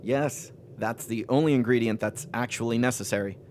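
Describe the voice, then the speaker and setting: An actor playing a controlling, quietly sure man who gives terse orders to his partner in a radio horror play. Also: mid-range voice, normal speed, a little hiss or hum, close to an everyday voice. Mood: assured.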